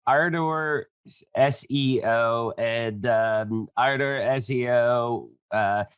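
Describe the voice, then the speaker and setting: A man's voice: medium pitch, slow pace, clean tone, no echo. A man hosting a podcast interview, chatty and enthusiastic with a joking manner. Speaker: podcast host. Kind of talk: casual interview.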